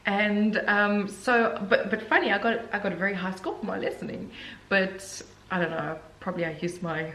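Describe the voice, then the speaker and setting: A woman's voice: medium pitch, fairly even intonation, moderate pace, hardly any pauses, fairly bright tone. A woman from South Africa with a South African accent, chatting as an interview guest and laughing easily. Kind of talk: casual interview. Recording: echoey room, faint hiss.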